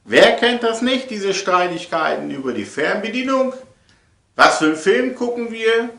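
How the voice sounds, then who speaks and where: A man's voice: high-pitched, lively intonation, moderate pace, vocal fry, a bit bright, slight background noise. A man hosting a comedic monologue to viewers, theatrical and repeating phrases for emphasis. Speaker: host of an online show. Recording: echoey room sound.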